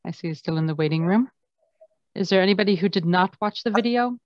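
A woman's voice: mid-range voice, quick pace, no room sound. A woman informally hosting an online class over a video call. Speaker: online course host. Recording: muffled video call audio.

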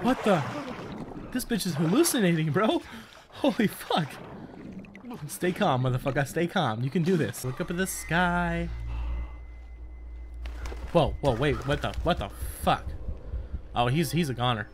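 Excitable higher-voiced man swearing through a game. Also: measured pace, long pauses, a little hiss or hum. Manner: profane, excitable, talks to the game character. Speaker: gaming video host. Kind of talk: casual game playthrough commentary. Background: game audio of boat and water.

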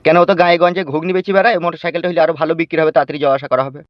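Guy doing a pushy village dad in a cartoon, high voice, talks fast, dull audio with music. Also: natural voice. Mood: chatty, pushy. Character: brash, pushy village father of groom, comic cartoon. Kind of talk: comic cartoon dialogue in rural speech.

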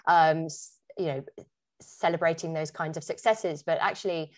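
Low-voiced woman interviewer, dry sound. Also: steady tone, normal speed, no room sound. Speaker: interviewer, podcast host. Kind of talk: conversational interview.

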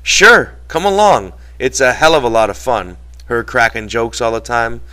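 A man's voice: medium pitch, normal speed, pushed and bright, lightly acted. A man voicing a brash, gossipy young ranch hand with folksy, slangy rural speech in an audiobook. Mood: eager.

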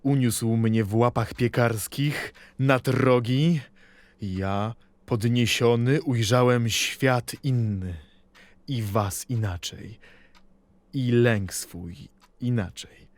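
A man playing a poet who tenderly recalls his childhood in a reflective, deliberate literary recitation for an audio play, with expressive pauses. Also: medium pitch, dry recording. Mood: solemn, dramatic. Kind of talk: dramatic poetry and memoir recitation.

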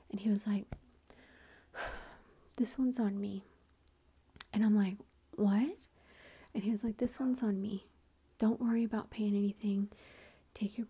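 Whispering, breathy woman telling a story. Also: medium pitch, mellow. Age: adult with a son. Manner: rambling, with fillers and quoted dialogue. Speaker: vlogger.

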